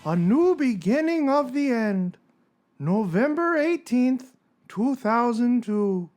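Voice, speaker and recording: Performer as a doleful, slow-talking cartoon misery. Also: mid-range voice, very animated, a little mellow, soft background music. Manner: doleful, deliberate. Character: downcast, long-suffering cartoon character reading his journal. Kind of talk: slow dramatic reading of a moody blog.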